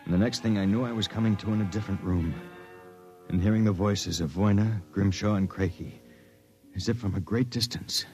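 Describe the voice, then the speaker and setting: A man's voice: on the low side, fairly quick, warm tone, faint music behind, natural voice. An actor playing a wry, terse, hard-boiled man in a 1950s radio drama. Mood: grim narrating. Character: wisecracking, hard-boiled man snooping inside a sanitarium. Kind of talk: first-person narration and 1950s radio drama acting.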